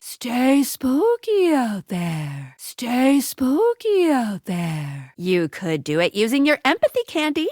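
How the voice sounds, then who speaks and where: High, bubbly young game guide. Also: female voice, dry recording, studio quality. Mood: playful. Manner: bubbly, playful, quirky, earnest. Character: perky upbeat young female guide in a dating game. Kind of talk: video game character voice acting.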